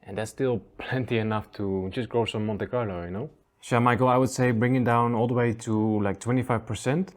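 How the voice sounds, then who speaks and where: A man's voice: medium pitch, steady tone, a little fast. A man hosting a casual review show, easygoing and chuckling often as he trails off and restarts sentences. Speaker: aquascaping channel host.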